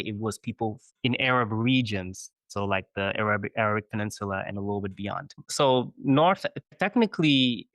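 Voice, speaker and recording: A man's voice: medium pitch, a bit bright, no room sound. A man, a chaplain guest on an interview podcast, explaining his views thoughtfully and often checking in with "you know" and "right?". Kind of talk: conversational interview.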